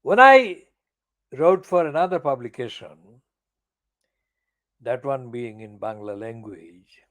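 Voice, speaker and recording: Older male commentator, slow and emphatic, lively swooping pitch, profane and furious. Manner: slow, deliberate phrasing with stressed words. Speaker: channel editor giving commentary. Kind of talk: personal political monologue.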